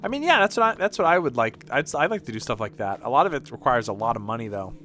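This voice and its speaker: A man's voice: medium pitch, very animated, fast talker, pushed and bright, slight background noise. A man streaming video games, chatting casually in a rambling way with some swearing. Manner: rambling, profane. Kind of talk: casual livestream chat over gameplay.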